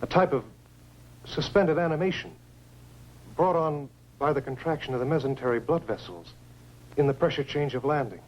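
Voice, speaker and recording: High-pitched, dazed astronaut played by an actor, vintage sci-fi. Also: male voice, normal speed, pauses often, lightly acted. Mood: puzzled. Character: dazed astronaut, shaken survivor of a crash landing. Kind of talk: 1950s science fiction film acting. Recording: noisy old film soundtrack with hum.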